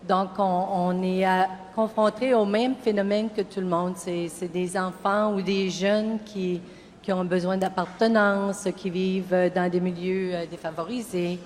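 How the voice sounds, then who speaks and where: A woman's voice: on the low side, steady tone, unhurried, a little mellow. A woman speaking earnestly and hesitantly as a youth prevention worker on a panel. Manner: earnest, hesitant, many fillers. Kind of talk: panel discussion. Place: public forum in a college hall. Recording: reverberant hall, faint room noise.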